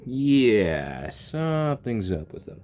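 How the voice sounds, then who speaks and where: A man's voice: medium pitch, very animated, slow pace, a little mellow, faint music behind. Rambling young man reacting to a game. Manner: rambling, joking, trips over words. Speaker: gaming reaction youtuber. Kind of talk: casual reaction commentary.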